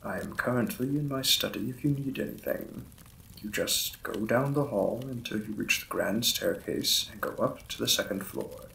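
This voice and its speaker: A man playing a gentle, apologetic Victorian vampire lord in a romantic audio roleplay, speaking formally and tenderly as a courteous new husband. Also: mid-range voice, creaky, a little hiss or hum, low-quality recording. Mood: formal, contrite.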